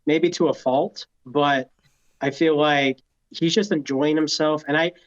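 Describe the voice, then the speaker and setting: Lo-fi, higher-voiced man, hesitant podcast chat. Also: fairly even intonation, unhurried. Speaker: podcast co-host. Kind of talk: casual movie review chat. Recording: dull, lo-fi sound.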